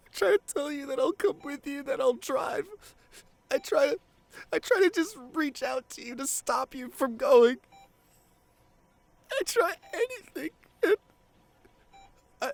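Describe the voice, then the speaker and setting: Devoted boyfriend, grieving and tearful, played by a man; slow, broken delivery with repeated phrases, intimate audio drama pleading. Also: extremely high pitch, gravelly, full character voice. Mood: anguished, tearful. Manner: halting, repeats phrases, voice breaking into sobs. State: crying. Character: grieving devoted boyfriend pleading at comatose partner's hospital bedside. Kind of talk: emotional ASMR audio roleplay.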